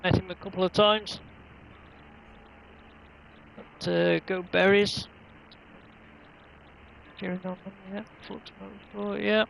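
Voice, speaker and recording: Man, fairly high voice, creaky edge, hesitant easygoing livestream commentator, faint background noise. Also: flat delivery, slow, long pauses, fairly bright tone, low-quality recording. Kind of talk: casual sim racing livestream commentary.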